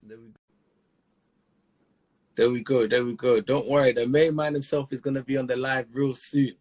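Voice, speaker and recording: A man's voice: mid-range voice, fairly even intonation, normal speed, a little mellow, low-quality recording. A man hosting a live-stream interview, chatty and hyping up his audience. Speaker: interview host.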